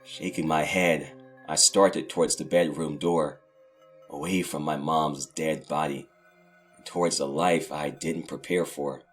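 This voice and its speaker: A man's voice: very deep voice, steady tone, unhurried. A man playing a grief-stricken young orphan, narrating his tragedy in a brooding, dramatic horror audio drama. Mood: lost, grieving. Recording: faint music under the voice.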